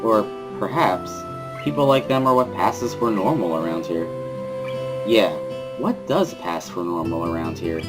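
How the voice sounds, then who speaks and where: A man reading a first-person story as a scripted dramatic narration, in an introspective, measured way. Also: on the low side.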